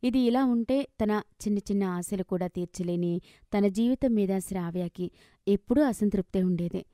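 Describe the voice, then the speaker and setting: Woman doing moral story narration, mellow warm tone, very dry close recording. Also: mid-range voice, moderate pace, dry recording.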